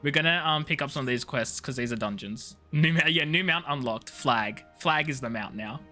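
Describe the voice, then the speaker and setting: A man's voice: on the high side, normal speed, pushed and bright, soft background music. A man chatting casually to viewers as a video game streamer, playful and teasing, rambling from one thought to the next.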